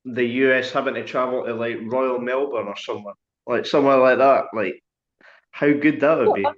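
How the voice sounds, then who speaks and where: A man's voice: medium pitch, fairly even intonation, normal speed. A man hosting a golf podcast who asks casual, rambling questions full of "like" and "um". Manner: rambling questions, heavy "like" and "um" fillers. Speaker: podcast host. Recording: roomy, dull lo-fi sound.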